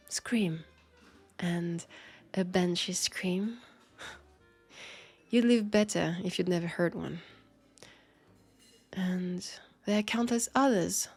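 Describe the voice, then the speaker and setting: A woman's voice: medium pitch, slightly rough. A woman with a French accent playing a guarded but friendly vampire police officer in an intimate audio drama, speaking hesitantly and wryly, as if confiding. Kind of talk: roleplay audio drama, intimate one-sided conversation.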